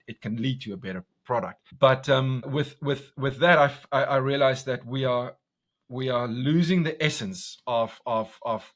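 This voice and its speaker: Man, afrikaans accent, south african, loud, intense, passionate, repeats himself. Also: mid-range voice. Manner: intense, passionate, talks loud, many restarts. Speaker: commercial director, guest. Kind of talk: podcast interview.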